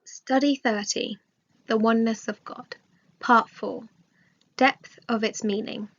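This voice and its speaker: A woman reading a scripted religious study aloud in a measured, formal manner. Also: fairly high pitch, steady tone. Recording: dull, lo-fi recording.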